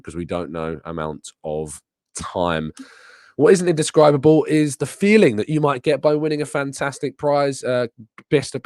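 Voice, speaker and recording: A young man with a very low voice, sounding raspy and hoarse as if nursing a sore throat. He talks casually as a podcast host, with plenty of ums. The sound is close and dry, with no room echo. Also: a little mellow. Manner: conversational, frequent ums. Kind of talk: solo football news podcast with live chat questions.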